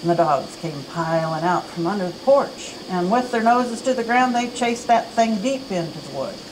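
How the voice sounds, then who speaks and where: A woman at a library event reading a children's story aloud with dramatic, expressive delivery. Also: mid-range voice, normal speed, background noise. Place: campus event room.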